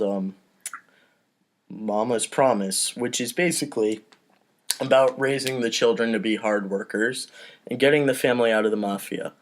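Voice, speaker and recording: A man with a medium-pitched voice narrates stage directions on a comedy podcast. His reading is casual and informal, drifting into off-the-cuff asides.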